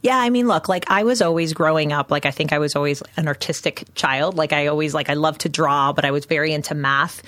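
A woman's voice: low-pitched, a little fast, few pauses, a bit bright, dry recording. A woman in her late thirties with a Canadian accent, chatting upbeat as an interview guest with plenty of "um"s and "you know"s. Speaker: guest, innovation executive. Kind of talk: casual podcast interview.

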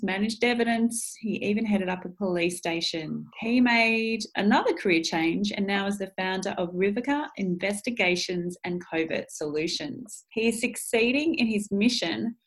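A woman hosting an interview podcast, reading a measured introduction and then asking her guest friendly questions. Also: mid-range voice. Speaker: podcast host.

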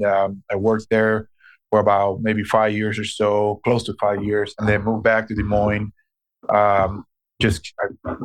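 A middle-aged man from Puerto Rico, a marketing director answering interview questions with many pauses and restarts. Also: fairly low pitch, rough recording. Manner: hesitant, many fillers and restarts.

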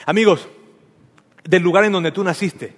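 Male preacher, light high voice, playful storyteller, reverberant hall. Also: normal speed, husky. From Venezuela. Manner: storytelling with humor, asks the audience questions. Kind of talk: sermon.

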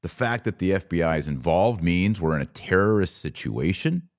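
A man hosting a podcast, giving solo commentary on the news in an earnest, emphatic way with short, deliberate phrases. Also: sits low, animated.

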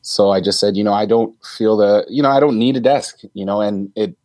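Deep voiced teacher guy in his 30s, lots of ums, dry close sound. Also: steady tone, fairly quick, warm tone, dry recording. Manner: thoughtful, hesitant, many ums and restarts. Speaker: teacher guest. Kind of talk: casual interview answers.

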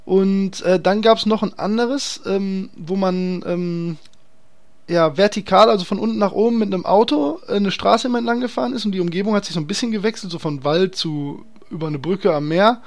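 A man's voice: sits high, fairly quick. A man hosting a casual podcast chat, talking in an enthusiastic, slangy and emphatic way. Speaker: podcast host.

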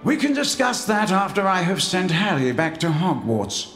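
A man voicing a calm, commanding old male wizard headmaster who speaks in a measured, grave way. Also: sits high, soft background music, voice pushed up. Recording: roomy echo.

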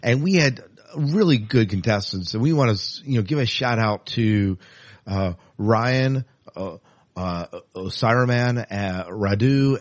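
A man's voice: on the low side, dry recording, clean studio sound. A man hosting a podcast in a casual, chatty and enthusiastic way. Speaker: podcast host.

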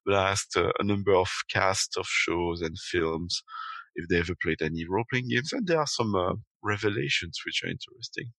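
Man, very low but bright voice, halting casual chat. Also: no room sound, low-quality recording. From Belgium. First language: French. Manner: hesitant, many fillers. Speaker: podcast guest. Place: at home in London at night.

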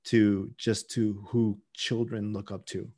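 A man's voice: fairly low pitch, level tone, takes it slowly, a little mellow. A man co-hosting a podcast, discussing folklore casually, often circling back and repeating words as he thinks. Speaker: podcast co-host.

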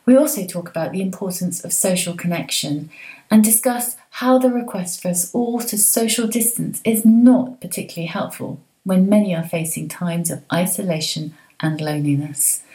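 A woman hosting a podcast, reading a clear, friendly intro and then chatting with her guest. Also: fairly low pitch, warm tone, low-quality recording. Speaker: podcast host and change coach. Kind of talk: scripted podcast intro, then interview chat.

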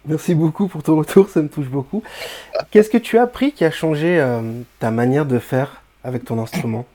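Mellow-voiced encouraging teacher. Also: male voice, fairly high pitch, normal speed. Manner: encouraging, explanatory. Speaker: bass teacher, host. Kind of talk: interviewing a student.

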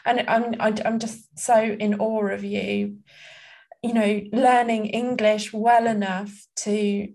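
A woman's voice: medium pitch, little pitch movement, slow, creaky, a little mellow. A woman hosting a podcast interview, encouraging and affirming, asking open questions. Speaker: podcast host.

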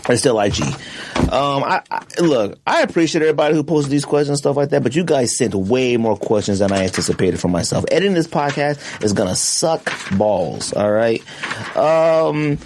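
Man, podcast host, casual rambling chat, excitable, mid-range voice. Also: normal speed, a little hiss or hum. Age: adult, has a wife. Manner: animated, rambling, jumps high when excited.

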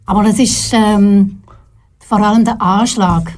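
Older Swiss German woman, mellow voice. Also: medium pitch, fairly even intonation. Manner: thoughtful, pauses often to search for words. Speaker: guest, retired TV presenter. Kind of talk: relaxed radio studio interview. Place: radio studio.

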